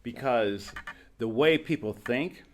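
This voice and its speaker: A man's voice: medium pitch, slow pace, slight background noise. A man hosting an interview, coaching his guest on branding and explaining with everyday examples. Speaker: podcast host and branding coach. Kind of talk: casual interview chat.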